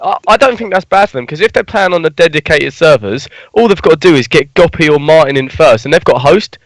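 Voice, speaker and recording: A man's voice: a little high, animated, quick pace, no echo. A young man chatting casually on a gaming podcast, hesitant and hedging with frequent restarts. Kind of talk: casual esports podcast chat.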